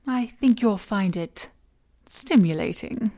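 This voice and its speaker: An actress playing a cold, seductive scientist in an audio drama, speaking with clinical precision and teasing condescension. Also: female voice, medium pitch, lively intonation, takes it slowly, dark tone. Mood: dismissive, lecturing. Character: cold, mocking, seductive female scientist in charge of experiments. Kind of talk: audio drama acting, sci-fi noir.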